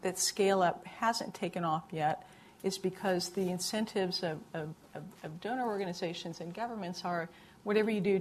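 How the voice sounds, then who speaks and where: A woman on a conference panel speaks earnestly in a lower voice, circling back over her words as she builds a point. A faint room ambience sits under her. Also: a little hiss or hum. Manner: earnest, many repeated words. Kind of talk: panel discussion answer.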